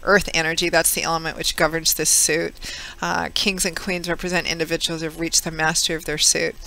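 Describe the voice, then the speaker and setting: A woman giving a chatty tarot reading, her voice hoarse and a little froggy from a cold. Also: level tone, a little fast, pushed and bright, poor audio. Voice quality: slightly froggy, squeaky. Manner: chatty, with many fillers. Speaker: tarot reader. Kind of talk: casual monthly tarot reading.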